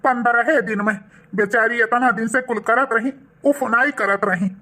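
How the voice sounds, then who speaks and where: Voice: medium pitch, fairly even intonation, normal speed, pushed and bright, close to an everyday voice. A voice actor playing a remorseful village husband and son in a cartoon, earnest and humbly pleading, with an Awadhi accent. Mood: exasperated, contrite.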